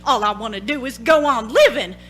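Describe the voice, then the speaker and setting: A woman acting as a plucky young female hitchhiker in a forties-style radio play. Her high voice is bright and very lively in pitch. She talks in brash, slangy phrases. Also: a little fast. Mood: alarmed, defiant. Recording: stage radio play with music.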